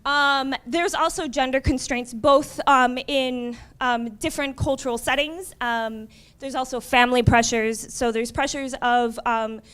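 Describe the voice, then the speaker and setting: A woman's voice: high voice, fairly even intonation, measured pace, a bit bright, smooth. A young woman from Miami, a community college librarian, giving a conversational conference presentation with wry asides. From Miami, USA.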